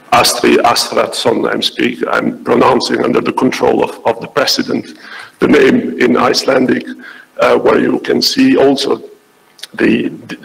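A man's voice: mid-range voice, creaky, fairly bright tone, long reverb tail, poor audio. A middle-aged man moderating a formal conference panel into a desk microphone, hesitant, with many fillers and restarts. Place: large conference hall.

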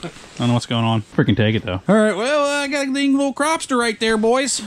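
A man in his thirties filming his ice-fishing trip in a tent on a frozen lake, talking casually and excitedly with plenty of slang and swearing, recorded on a handheld action camera. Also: very high voice, quick pace. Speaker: fishing vlogger. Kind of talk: casual outdoor vlog. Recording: noisy outdoor camera audio.

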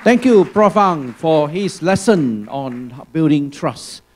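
A man's voice: fairly high pitch, lively intonation, slow pace, a faint crowd, a little hiss or hum. A man as emcee in a large auditorium, formally reading out a speaker's introduction. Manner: formal, announcing.

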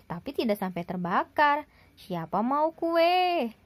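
A woman's voice: sits high, very animated, measured pace, no echo. A woman voicing a friendly, homely, chatty neighbour woman from a storybook.

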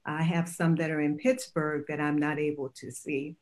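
An older woman, a retired grandmother, speaking as a panelist on a video call from home in a slow, reflective way. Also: low voice, level tone. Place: home room, indoors.

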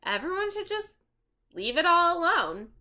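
Woman as storybook boy, cautious, bookish, earnest, bright tone, varied pitch. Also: very animated, measured pace, exaggerated voice. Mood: thoughtful. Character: young boy, cautious, bookish, sensible big brother.

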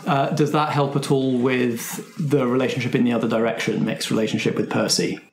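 A man's voice: medium pitch, fairly even intonation, a little fast. A man casually moderating a roleplaying group's discussion as game master and host. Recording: roomy, echoey sound.

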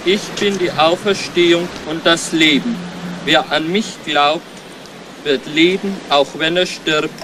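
A man playing a solemn priest at a graveside in a radio drama, reciting liturgy in a measured way. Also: fairly high pitch, noisy background, lightly acted.

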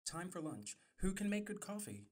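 An actor playing an earnest, soft-spoken young male tutor in an audio drama, formal and polite. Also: sits high, very animated, normal speed. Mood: gentle. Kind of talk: radio play acting.

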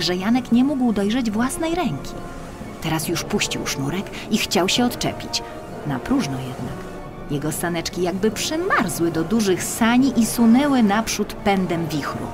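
Lowish-voiced woman narrating a children's tale. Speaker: audiobook narrator. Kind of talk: scripted children's storytelling narration. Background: music.

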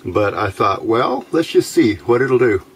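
A man giving a casual hands-on demonstration of homemade gear in an unhurried, halting, explanatory way. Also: medium pitch, animated, vocal fry, breathy edge. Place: small indoor workbench by a window. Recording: faint background noise.

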